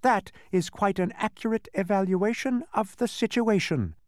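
A man voicing a small, furry, insincere demon from a storybook, with emphatic, drawn-out proclaiming. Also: high-pitched, animated, no echo, studio quality, voice pushed up, exaggerated voice. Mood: gleeful, boastful. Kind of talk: storybook character voice.

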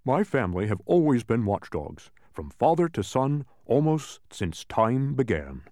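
A man's voice: mid-range voice, very animated, measured pace, darkish tone, dry recording, clean studio sound. A man voicing an earnest, proud storybook watchdog, sentimental and given to dramatic, lofty speeches. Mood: explaining, earnest. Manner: declamatory, dramatic. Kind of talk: children's storybook character voice.

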